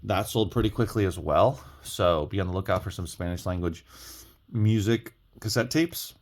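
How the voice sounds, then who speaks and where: A man's voice: low voice, moderate pace, darkish tone. A man talking casually as an online reseller sharing tips, rambling a little and often rephrasing himself. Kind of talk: casual reselling vlog talk. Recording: clearly audible background noise.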